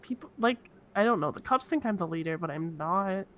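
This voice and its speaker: A woman playing a giddy, rambling cultist murderer in improvised online roleplay, with halting, repetitive speech. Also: lowish voice, animated, crowd noise, natural voice. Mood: giddy, defensive.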